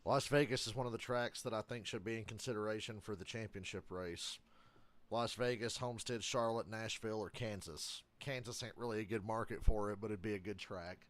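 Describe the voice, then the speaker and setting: A man with a fairly low voice, his throat a little rough and hoarse from allergies. He talks offhand and blunt, dropping the odd swear as he chats through a race he is watching live. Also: fairly even intonation, a little fast, a bit bright. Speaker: channel host reacting to a live race. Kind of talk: casual live reaction commentary.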